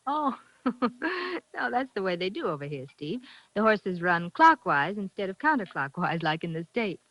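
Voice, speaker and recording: Bright, breezy radio dame. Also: female voice, medium pitch, sing-song, unhurried, no room sound, heavily acted. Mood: playful, coaxing. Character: breezy, flirtatious American female companion of investigator. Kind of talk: 1940s radio drama acting. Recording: muffled vintage 1940s radio recording.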